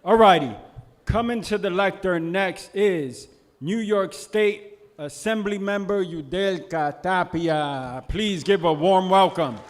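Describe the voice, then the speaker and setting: A man's voice: sits high, takes it slowly, long reverb tail. A man emceeing a ceremony, chatty with joking asides as he introduces the next speaker. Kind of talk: casual stage hosting.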